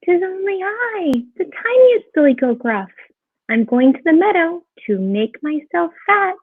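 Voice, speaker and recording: A woman's voice: extremely high pitch, sing-song, measured pace, no echo, narrow, tinny audio, pitched above the performer's own voice, heavily acted. A woman voicing a timid little male billy goat in a storybook, with a very small, pleading voice.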